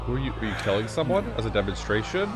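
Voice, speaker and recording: A man's voice: fairly low pitch, big pitch swings, rapid-fire, over loud music. A man hosting a gaming video, thinking aloud in casual commentary as he reacts to the game. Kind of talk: casual play-along commentary.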